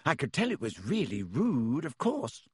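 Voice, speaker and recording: A man voicing an earnest, slightly anxious teenage schoolgirl witch, raising his voice higher. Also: huge pitch swings, measured pace, a little mellow, husky, no room sound, clean studio sound, heavily acted.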